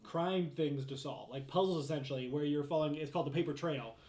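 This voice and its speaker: Higher-pitched man in casual panel talk. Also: fast talker, darkish tone. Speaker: gaming talk show panelist. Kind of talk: casual panel discussion about games.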